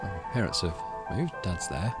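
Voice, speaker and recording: A man's voice: deep voice, big pitch swings, normal speed, loud music behind the voice. A man hosting a gaming playthrough, reading story text aloud and adding casual commentary. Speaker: gaming channel host.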